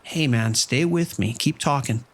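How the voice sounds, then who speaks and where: Male actor as concerned casino boss in horror audio drama, urgent, protective, medium-pitched. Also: a little slow, breathy edge.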